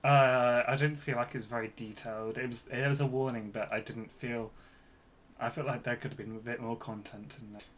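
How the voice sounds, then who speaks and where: A man co-hosting a podcast, reacting casually in a halting, mildly wry way. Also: medium pitch, fairly even intonation, fairly bright tone. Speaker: podcast co-host. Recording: clear background noise.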